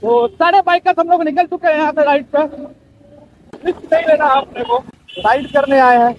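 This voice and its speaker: High-pitched young man, muffled. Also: normal speed. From Bihar, India. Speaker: motovlogger. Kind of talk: casual vlog commentary while riding. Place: outdoors on a motorbike on town roads.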